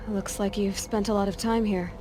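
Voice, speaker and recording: A woman's voice: mid-range voice, fairly even intonation, moderate pace, warm tone, a little hiss or hum, close to an everyday voice. A young woman playing a determined, questioning adventurer in a video game, speaking in a plain, searching way. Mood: doubtful, searching. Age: young adult. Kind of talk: naturalistic video game dialogue.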